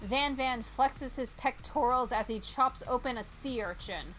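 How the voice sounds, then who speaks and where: A woman's voice: a little high, a little slow, a bit bright, slight background noise, low-quality recording. A woman streamer reading a visual novel game aloud in a theatrical, playful narrating style.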